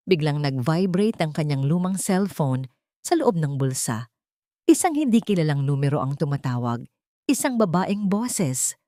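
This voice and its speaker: A woman's voice: low voice, a little mellow, no echo, clean studio sound. A woman giving a solemn, emotive scripted narration of a dramatic audiobook story.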